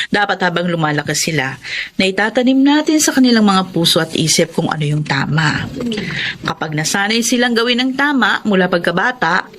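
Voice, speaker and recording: An actress playing a loving, hardworking older mother and grandmother in a radio drama, speaking earnestly and gently. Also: medium pitch, slight background noise, close to an everyday voice. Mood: earnest advice. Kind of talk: radio drama acting and first-person narration. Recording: music under the voice.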